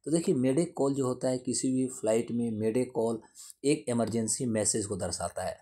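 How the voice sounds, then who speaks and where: A man's voice: mid-range voice, normal speed, warm tone, slightly rough. A man giving an explanatory lesson in a didactic way, repeating and rephrasing his points. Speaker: teacher explaining current affairs.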